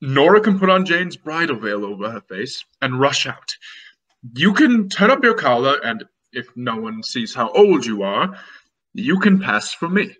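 A young man playing an anxious, self-important groom in melodramatic, drawn-out old-time radio mystery style. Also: mid-range voice, big pitch swings, fairly bright tone, poor audio. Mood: scheming, pompous. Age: young adult. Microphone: video call.

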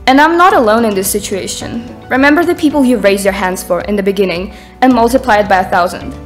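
Earnest teen girl from Ukraine, mid-pitched, reading a speech at a conference lectern. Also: fast, faint music behind. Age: teens. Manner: earnest, reading from notes, rises in intensity near the end. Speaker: student speaker. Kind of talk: prepared speech read aloud. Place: conference stage in a dark hall.

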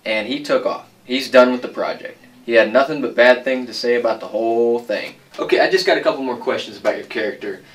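A man's voice: mid-range voice, quick pace. A man asking questions as an interviewer in a conversational style. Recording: distant microphone.

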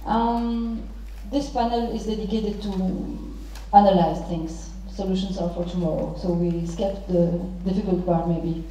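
A middle-aged woman moderating a panel, introducing guests and posing questions formally in halting, deliberate phrases. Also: medium pitch, fairly even intonation, warm tone. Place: large theatre stage. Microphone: handheld microphone through hall speakers. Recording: very echoey theatre PA, distant, muffled.

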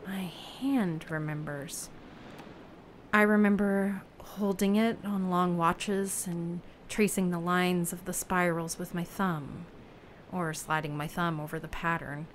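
Slow, reflective woman of the sea. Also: on the low side, fairly even intonation, slight background noise. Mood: searching, unsure. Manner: halting, often breathy and hushed. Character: reflective, anguished woman raft person of an ocean world. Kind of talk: audio drama acting.

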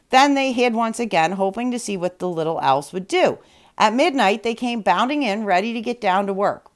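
A woman reads a storybook aloud as a storytime host. Her voice is mid-pitched, and she phrases each sentence in a measured, clear way. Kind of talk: scripted storybook narration.

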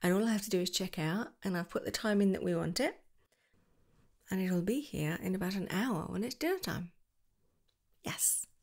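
A young woman doing a girlfriend roleplay to the listener, soft and affectionate, giggling and slipping into whispers. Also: medium pitch, expressive, moderate pace, pauses often. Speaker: ASMR roleplay creator.

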